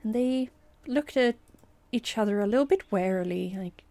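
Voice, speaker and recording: Hushed, whispery woman telling a bedtime story. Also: medium pitch, moderate pace, no room sound, soft background music. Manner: soft, hushed, often whispering. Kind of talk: improvised bedtime story narration.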